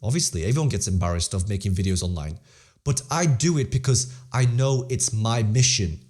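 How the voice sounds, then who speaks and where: A man's voice: fairly low pitch, expressive, fairly quick, a little mellow. A teenage boy, an interview guest, talking earnestly and motivationally in a casual way, often repeating phrases and restarting sentences. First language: Polish-English bilingual, English stronger. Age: teens.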